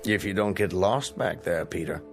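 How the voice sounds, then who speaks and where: An actor playing an easygoing, wry club gentleman in a radio play, dry and unhurried. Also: deep voice, faint music behind.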